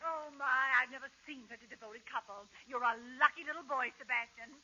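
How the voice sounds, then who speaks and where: An actress playing a prim, gushing spinster in 1940s radio comedy. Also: female voice, sits high, brisk, heavy background noise, lightly acted. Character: gushing, fluttery middle-class spinster seeking a husband. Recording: muffled old radio recording.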